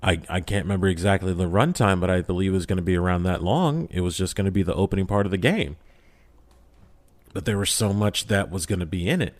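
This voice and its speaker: Guy with a fairly deep voice, podcast guest, casual and earnest, kinda emphatic when he talks. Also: quick pace. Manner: emphatic, earnest, acts out imagined quotes. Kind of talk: casual interview chat.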